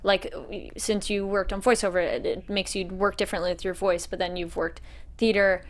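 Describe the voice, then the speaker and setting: A woman's voice: mid-range voice, level tone, moderate pace. A woman hosting an interview, asking questions in a friendly, chatty way and backing the guest up with small agreements. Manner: friendly, chatty, laughs often, backchannels with mm-hmm. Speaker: interview host.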